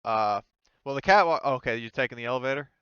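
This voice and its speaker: A man running a tabletop game as game master, narrating casually and hesitantly as he thinks out loud. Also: medium pitch, fast talker, smooth, dry recording. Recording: slightly dull, dry close recording.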